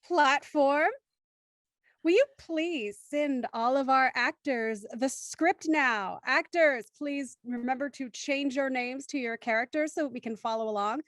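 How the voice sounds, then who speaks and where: A woman's voice: high-pitched, a little slow, no echo, clean studio sound. A woman hosting a live audio show and reading scripted narration, addressing her listeners directly and in an organised way. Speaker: host and narrator.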